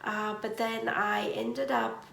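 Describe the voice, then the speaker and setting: Slow knitting podcast lady, bit congested, gravelly voice, roomy echo. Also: mid-range voice, big pitch swings. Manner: slow, gentle, with many ums and uhs. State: congested from seasonal allergies. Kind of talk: casual chat. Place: home.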